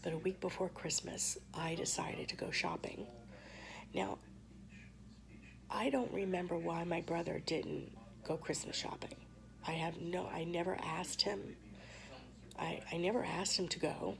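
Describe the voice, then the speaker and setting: A woman vlogger speaks to camera with a hoarse, heavily gravelly voice that sits a little low. She tells her story softly and haltingly, pausing often. A crowd murmurs behind her. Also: fairly even intonation. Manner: soft, halting, with many pauses and fillers.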